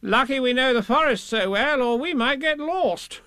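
A man voicing a bossy, overconfident rabbit from a storybook, brisk and self-important. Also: very high-pitched, expressive, sharp tone, clean tone, no room sound, clean studio sound, voice pushed up, exaggerated voice. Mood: airily confident.

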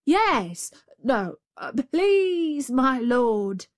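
A woman's voice: high voice, very animated, extremely slow, no echo, studio quality, voice pushed up, exaggerated voice. A woman voicing a male storybook jackal who plays dim, fretful and hesitant, with an obsequious manner. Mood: flustered. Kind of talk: storybook character voice.

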